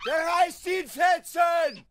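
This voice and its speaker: An actor playing a loyal, troubled ship's mate in a German radio play, moving between hushed foreboding and hailing shouts. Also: male voice, very high voice, takes it slowly, noisy background. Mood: urgent, hailing. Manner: theatrical, from hushed murmur to loud hailing shouts.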